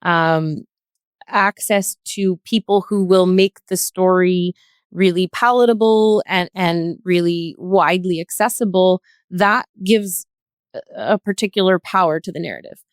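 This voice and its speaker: A woman speaking as a podcast guest in a thoughtful, measured and halting way. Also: on the low side, clear, resonant tone, no room sound, clean studio sound. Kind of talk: thoughtful discussion.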